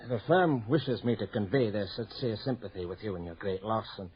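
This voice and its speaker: Formal, apologetic company man, lowish voice, halting manner, muffled vintage radio play. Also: slightly rough. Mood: grave, regretful. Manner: hesitant, formal. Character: awkward, formal, apologetic male company messenger bearing bad news. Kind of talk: 1980 radio drama acting. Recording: muffled old radio broadcast.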